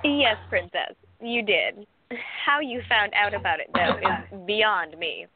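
A woman's voice: mid-range voice, slow. A woman playing a protective, skeptical bodyguard, a proper military major, in a radio play. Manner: skeptical, dryly deferential. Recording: thin, dry phone-like sound.

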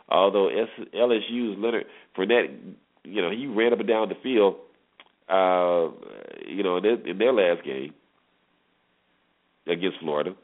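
A man with a slightly low voice hosts a sports talk show on his own, heard through a thin, phone-like connection. He talks informally through his analysis and repeats phrases to drive points home. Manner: emphatic repetition of phrases. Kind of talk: informal solo sports commentary. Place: solo internet radio show. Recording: muffled phone-like internet radio audio.

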